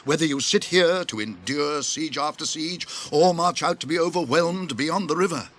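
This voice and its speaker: A wise old wizard who speaks gravely and deliberately, in a fairly high voice. He sounds commanding and sure. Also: a bit bright. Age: older. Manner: grave and measured. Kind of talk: 1980s radio drama acting.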